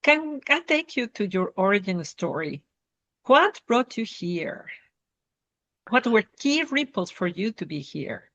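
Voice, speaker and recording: A woman's voice: medium pitch, very animated, slow pace, a bit bright. Woman interviewer on dull lo-fi audio. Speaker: interview host. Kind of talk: conversational interviewing.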